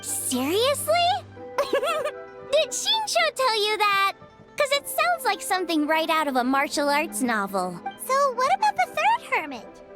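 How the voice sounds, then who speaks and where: A woman voicing a tiny, cheeky, excitable fairy companion in a video game, with a squeaky childlike voice and an expressive, playful delivery. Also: unhurried, over music, full character voice. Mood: curious, chatty.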